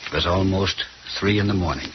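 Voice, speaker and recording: A formal, macabre storyteller played by a man narrates an old radio horror play. The voice is fairly low and deliberate, on a muffled recording with faint noise. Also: natural voice. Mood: suspenseful.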